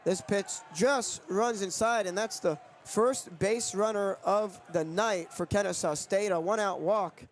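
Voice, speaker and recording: A man's voice: sits high, unhurried, hardly any pauses, slight background noise. A young man doing sports broadcast commentary as a play-by-play announcer and reporter. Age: young adult.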